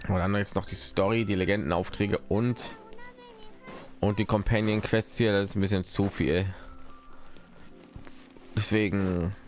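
A man's voice: on the low side, fairly even intonation, a little slow, pauses often. A man chatting casually over a video game as he streams it, with game music behind him. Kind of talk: casual gameplay commentary.

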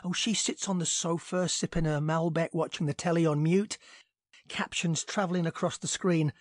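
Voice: mid-range voice, fairly even intonation, moderate pace, no echo, studio quality, natural voice. An actor playing a wry neighbour who narrates their own story in an audio drama. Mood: tense recounting.

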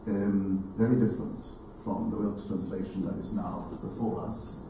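An older man giving a formal introductory talk to an audience, in a halting, erudite manner with deliberate phrasing. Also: low-pitched, fairly even intonation, creaky. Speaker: speaker introducing a literary event. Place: indoor salon hall with seated audience. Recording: echoey room, distant mic, faint noise.

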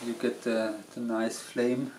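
A man from Germany explaining things plainly and matter-of-factly, at an even middle pitch. He is recorded indoors in a small wooden hut, with clear hiss underneath his voice. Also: a little slow. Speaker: hiker demonstrating a homemade stove. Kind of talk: casual explanation.

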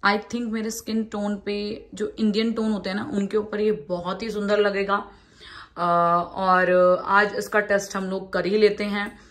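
A woman's voice: mid-range voice, little pitch movement, a little slow, a bit bright. A woman in her thirties chatting casually to her phone camera as a vlogger, first at home and then in a street market, mixing English words into her talk. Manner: chatty, mixes English into Hindi, says "yaar" often. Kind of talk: casual vlog chat. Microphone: phone held in hand.

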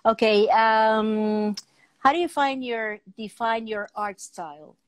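Halting middle-aged woman hosting. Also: a little high, no room sound. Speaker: talk show host. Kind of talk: casual video interview, asking questions. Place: room at home, video call.

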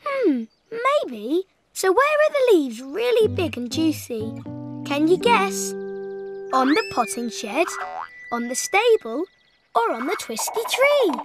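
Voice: very high-pitched, sing-song, slow, over music, heavily acted. An actor voicing a cheerful, curious young cat in a children's cartoon, friendly and sing-song, talking directly to young viewers. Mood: curious, pondering. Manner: bright, clearly paced, sing-song, asking the viewer.

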